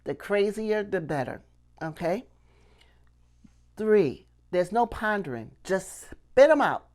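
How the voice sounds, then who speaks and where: A woman's voice: mid-range voice, sing-song, slow, a little mellow. A middle-aged woman giving an instructional talk to camera as a teacher, emphatic and repeating key phrases for stress. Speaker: puppetry teacher and video host.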